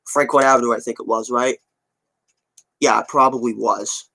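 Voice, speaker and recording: A man's voice: medium pitch, fairly even intonation, normal speed, creaky, bright, forceful tone. A twenty-year-old man chatting casually to his viewers, rambling and repeating himself in an offhand way. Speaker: video creator updating viewers. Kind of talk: casual chat to viewers, answering comments.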